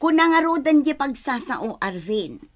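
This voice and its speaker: Worried, reproachful wife: fairly high voice, Ilocano accent, pleading radio drama style. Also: female voice, very animated, moderate pace. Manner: pleading, earnest. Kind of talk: Philippine radio drama acting.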